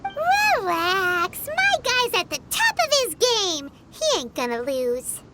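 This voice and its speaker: A woman voicing a tiny, excitable, cheeky fairy companion in a video game, given to exclamations. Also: very high voice, takes it slowly, background noise, heavily acted. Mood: boastful. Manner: exclamatory, refers to herself in third person. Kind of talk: video game character acting. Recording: music behind the voice.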